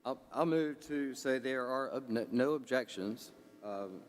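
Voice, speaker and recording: A man speaking as a commission member in a meeting discussion, tentative and measured. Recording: reverberant hall with rumbling noise.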